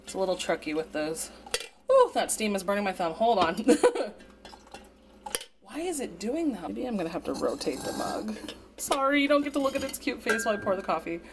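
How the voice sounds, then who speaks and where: A young woman in her twenties chatting casually on a home vlog, her voice slightly raspy from dust, with a chatty, upbeat manner. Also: medium pitch, animated, brisk, long pauses, noisy background. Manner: chatty, upbeat, filler-heavy. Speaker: vlogger. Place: home, indoors. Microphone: camera propped up nearby.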